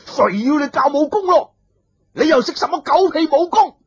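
A man's voice: very high voice, lively intonation, normal speed, voice pushed up. A man voicing a hot-tempered brutish male martial-arts villain who blusters and hurls insults. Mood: scornful. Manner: gruff, blustering, shouting insults. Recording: dull lo-fi recording.

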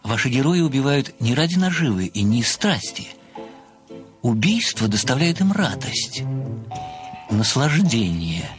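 A medium-pitched man as an obsessive fan who plays detective in an audio drama. He is deferential yet insistent, setting out his words deliberately, with faint music underneath. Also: big pitch swings. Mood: probing. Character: obsessive, polite male admirer turned amateur detective. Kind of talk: audio play acting.

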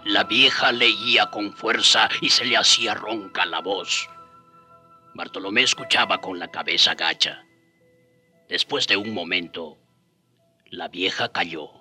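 Native island guy telling his story in a radio drama, very bright intense voice, lowish, earnest. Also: unhurried, soft background music. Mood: moved, reflective. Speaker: narrator. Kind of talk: dramatised first-person narration.

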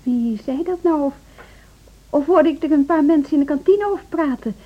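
An actress playing an earnest, hesitant female music teacher who keeps gently probing, in a radio drama. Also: high-pitched, normal speed, lo-fi sound, lightly acted. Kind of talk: Dutch radio drama acting.

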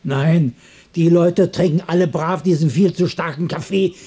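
A restless, irritable loner in a radio play. His voice is husky and mellow, set a little high. He muses slowly in broken, repeated phrases and can turn cranky. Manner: broken, repetitive musing, breaking into cranky outbursts. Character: distraught, irritable, restless loner adrift in the world. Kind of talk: literary radio drama monologue and dialogue.

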